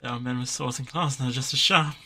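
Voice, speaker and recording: A man playing a frightened young jackalope creature in an audio drama, with a raspy, creaky voice and a timid, halting way of speaking. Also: mid-range voice, fairly even intonation, sharp tone. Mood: fearful, pleading. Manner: timid, halting, repeating words.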